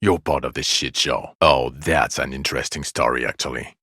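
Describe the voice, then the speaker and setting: A male actor playing a bitter, exasperated, foul-mouthed police detective in a video game, scolding with weary sarcasm and contempt in a gravelly voice. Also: extremely low pitch, normal speed, sharp tone, no room sound, clean studio sound, heavily acted. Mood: bitter, sardonic. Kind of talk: video game character dialogue.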